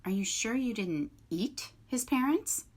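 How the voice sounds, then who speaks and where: Bossy old storybook goose, lifted and loud, a woman doing the voice. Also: fairly high pitch, animated, a little slow, pitched above the performer's own voice. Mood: suspicious. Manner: scolding, matter-of-fact. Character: bossy old gray female goose, blunt and brisk.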